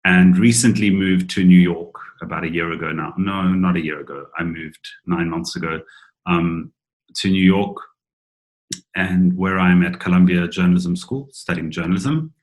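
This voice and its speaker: A young man with a very deep voice speaks quickly. He stops to correct himself mid-thought. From South Africa. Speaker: journalism student and deacon.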